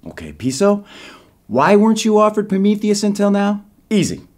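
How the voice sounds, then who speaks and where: An actor playing a slick, polished civilian pitchman presenting a secret military program in an audio drama. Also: wildly swooping pitch, normal speed, a little mellow. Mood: smooth, assured. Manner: smooth, polished, salesmanlike.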